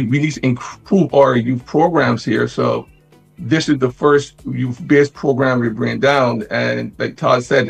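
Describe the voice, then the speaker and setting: A middle-aged man from Barbados with a gravelly, husky voice, talking conversationally as an interview guest. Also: medium pitch, poor audio. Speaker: interview guest, retired police detective. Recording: music playing behind the voice.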